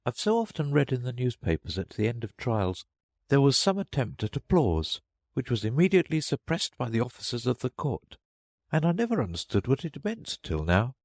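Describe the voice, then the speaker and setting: A man's voice: mid-range voice, huge pitch swings, moderate pace, darkish tone, dry recording, clean studio sound, voice pushed up. A man voicing a curious, thoughtful young girl musing to herself in a storybook reading. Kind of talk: storybook character voice.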